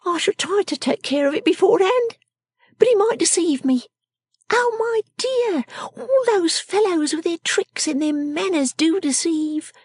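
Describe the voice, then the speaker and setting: A woman voicing a sharp-tongued, sickly young girl dressmaker from a storybook, bossy, scolding and snappish. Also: very high voice, lively intonation, measured pace, no echo, clean studio sound, voice pushed up. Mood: quaint, brooding. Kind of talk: storybook character acting.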